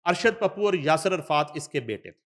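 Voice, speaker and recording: Higher-voiced Pakistani man giving news commentary. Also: expressive, a little fast. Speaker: news show host. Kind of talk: news commentary, reading an article aloud with asides.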